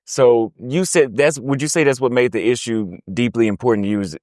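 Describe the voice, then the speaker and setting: A young man hosting a casual interview, speaking informally and relaxed. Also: mid-range voice, brisk, no room sound, clean studio sound. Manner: casual, relaxed, uses slang. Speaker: YouTube host.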